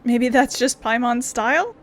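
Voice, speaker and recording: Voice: mid-range voice, measured pace, a bit bright, slight background noise. A game streamer casually talking and reading dialogue aloud during a let's-play.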